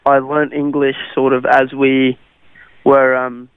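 A man's voice: medium pitch, monotone, slow pace, clean tone, no echo. A young man from South Africa with an Australian accent, Afrikaans his first language, answering radio interview questions hesitantly with many fillers. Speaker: interview guest, cricketer. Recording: tinny phone-like radio line.

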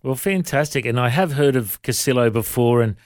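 Australian man, casual interviewer, relaxed banter, dry recording. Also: medium pitch, fairly quick, dry recording. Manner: easygoing, chatty, light joking. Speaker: podcast host.

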